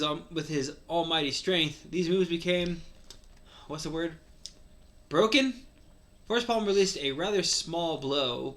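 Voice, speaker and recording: Higher-voiced man reading aloud. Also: fairly bright tone. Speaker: co-host reading fan fiction aloud. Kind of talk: dramatic read-aloud with casual asides.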